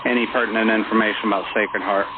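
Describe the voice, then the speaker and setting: A man's voice: lowish voice, flat delivery, fast talker. A man working as an air traffic controller, giving instructions over a radio. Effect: radio transmission. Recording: muffled band-limited radio recording with chatter behind.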